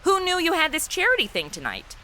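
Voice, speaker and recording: Woman playing a bright, sarcastic wisecracking sidekick. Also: very high voice, wildly swooping pitch, fast, slight background noise, exaggerated voice. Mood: exasperated, sarcastic. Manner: sarcastic, exasperated, blunt. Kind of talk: comic audio drama acting.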